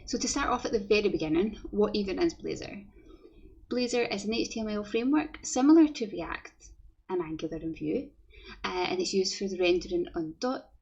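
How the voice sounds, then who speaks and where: A woman's voice: medium pitch, animated, brisk, vocal fry, darkish tone, slightly rough, muffled recording. Chatty Glasgow woman giving a tech talk. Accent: Scottish, Glasgow. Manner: friendly, chatty, repeats words as she goes. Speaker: conference speaker, developer. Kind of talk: online conference talk with slides.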